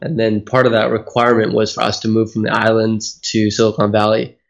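A man's voice: lowish voice, completely flat delivery, a little fast, vocal fry, highs cut off. Earnest man explaining at length. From Hawaii. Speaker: guest, speaking coach. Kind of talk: reflective interview answers. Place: Australia.

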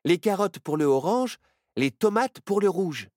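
A man doing a calm, sensible child. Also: high-pitched, measured pace, dry recording, clean studio sound. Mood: scheming.

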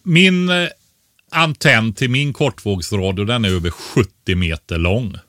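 A man's voice: on the low side, fairly bright tone, no echo, clean studio sound. A man co-hosting a podcast, explaining things casually and didactically, often tagging his statements with a short questioning word.

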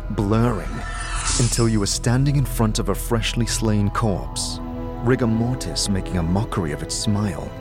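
A man narrating a scripted audio drama in the second person, as a storyteller. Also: on the low side. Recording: music behind the voice.

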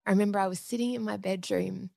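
A woman's voice: medium pitch, quick pace, gravelly, dry recording, clean studio sound. A woman sharing a heartfelt personal story candidly in a conversation. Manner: candid, heartfelt, animated in telling.

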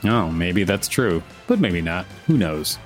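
A man's voice: low voice, big pitch swings, unhurried, soft background music. A man co-hosting a podcast, reading an article aloud and chatting casually about it. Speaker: podcast co-host.

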